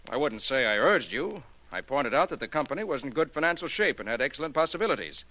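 An actor playing a brisk, defensive stockbroker in 1940s radio drama style. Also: male voice, medium pitch, big pitch swings, sharp tone, rough recording. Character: smooth-talking stockbroker, defensive man juggling clients and lover. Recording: hissy 1940s radio recording.

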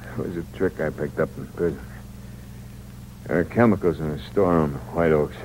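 An actor playing a wounded young ex-convict, tough and bitterly amused, in an old-time radio crime drama with labored delivery. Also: male voice, extremely low pitch, fairly quick, a little mellow, a little hiss or hum, rough recording. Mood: weary, pained. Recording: vintage radio drama recording, music behind.

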